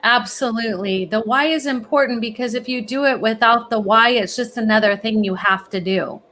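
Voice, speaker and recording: A woman's voice: a little high, moderate pace, pushed and bright, a little hiss or hum. A middle-aged woman from California, a guest answering interview questions in an upbeat, encouraging and assured way. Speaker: guest, college planning executive.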